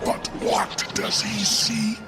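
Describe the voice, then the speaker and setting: An actor playing an eerie, taunting spirit herald of an undead arena in a video game, theatrical and declamatory. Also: male voice, sits high, flat delivery, slow pace. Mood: ominous, taunting. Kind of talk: video game cutscene acting. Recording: loud music under the voice.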